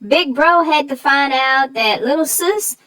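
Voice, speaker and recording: A woman's voice: sits high, a little slow, bright, forceful tone, no echo. A woman chatting about a children's story.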